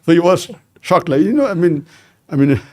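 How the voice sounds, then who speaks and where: Mellow-voiced man in his sixties recounting stories. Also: on the high side, lively intonation, no room sound, studio quality. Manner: measured anecdotal telling, frequent "you know". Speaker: podcast guest. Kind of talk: conversational storytelling interview.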